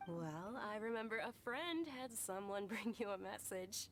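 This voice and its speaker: An actress playing a kind, refined young noblewoman in a video game, with a polite, gentle manner. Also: medium pitch, lively intonation, unhurried, over music. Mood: teasing, kind.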